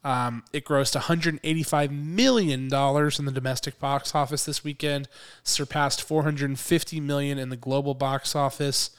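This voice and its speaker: A man co-hosting a podcast in casual chat, a little hoarse from a cold, chatty and quick to laugh. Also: mid-range voice, fairly even intonation. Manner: chatty, laughs often, restarts phrases. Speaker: podcast co-host.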